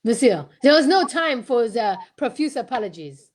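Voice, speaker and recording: A self-assured Belgian gentleman detective from an old-time radio mystery, acted by a woman. The voice sits on the high side, with a courteous, unhurried theatrical delivery sprinkled with French phrases. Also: sing-song, slight background noise. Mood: confident, deliberate.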